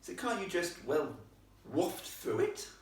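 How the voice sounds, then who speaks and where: A man's voice: fairly high pitch, sing-song, slow pace, voice pushed up, full character voice. A man voicing a posh, well-spoken young upper-class girl, indignant and defiant, in a storybook reading. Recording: echoey room, distant microphone.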